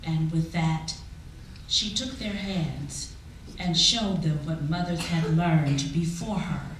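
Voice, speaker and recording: A woman giving a dramatic, expressive spoken-word poetry recitation, deliberate and full of drawn-out pauses. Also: sits low. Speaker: poetry reader at a church performance. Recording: echoey hall, distant mic, faint noise.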